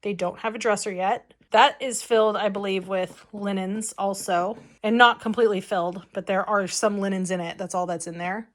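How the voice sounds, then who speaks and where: Hoarse woman rambling through her house. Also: medium pitch. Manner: rambling, chatty, laughs often. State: hoarse from a long cough. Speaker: vlogger. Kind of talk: casual house walk-through. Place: family house, indoors.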